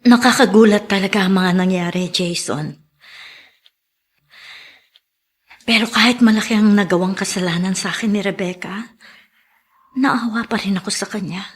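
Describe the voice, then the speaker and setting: A woman's voice: mid-range voice, brisk, slightly rough, close to an everyday voice. An actress playing a tender, forgiving mother who longs for her child, earnest and emotional in melodrama style. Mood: hopeful, earnest. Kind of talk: Filipino melodrama acting.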